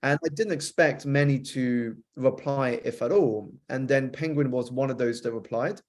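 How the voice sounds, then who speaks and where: A man with a British accent that has been softened by an American influence, a guest author on a podcast, telling a reflective story fluently with some repeated starts. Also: medium pitch, moderate pace. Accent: British, softened by years with an American spouse. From United Kingdom.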